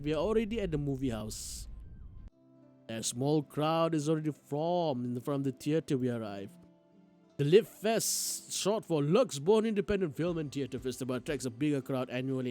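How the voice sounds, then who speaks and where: A man's voice: on the high side, darkish tone. A man streaming a game, reading its narration aloud expressively with occasional stumbles and side comments.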